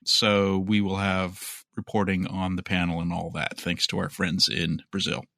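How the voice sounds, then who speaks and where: A man's voice: low voice, flat delivery, moderate pace, gravelly, sharp tone, dry recording, clean studio sound. A man co-hosting a podcast, casually discussing news and speculating in a conversational way. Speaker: podcast host.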